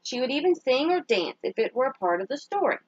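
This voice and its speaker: A woman reading a children's story aloud as a teacher would, pausing to put simple questions to young listeners and praise their answers. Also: high-pitched, big pitch swings, breathy edge, no echo, lo-fi sound.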